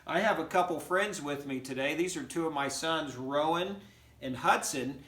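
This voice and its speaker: A man's voice: a little high, moderate pace, fairly bright tone. A middle-aged man, an educator, reading a picture book aloud expressively and speaking directly to children. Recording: roomy, echoing home recording.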